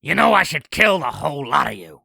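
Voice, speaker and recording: A man playing a sinister, boastful old demon in a comic dub, telling tales in a raspy, gravelly, theatrical voice. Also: fairly high pitch, very animated, brisk, sharp tone, dry recording, clean studio sound, exaggerated voice. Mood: irritated, menacing. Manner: theatrical, grandiose storytelling. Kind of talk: comic dub villain acting.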